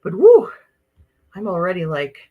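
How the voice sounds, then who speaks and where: Bubbly Upper Midwest woman, lively pitch. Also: measured pace. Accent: Upper Midwest American. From Wisconsin, USA. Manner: bubbly, chatty, exclamatory. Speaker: livestream host. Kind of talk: casual live-stream chat reading viewer comments.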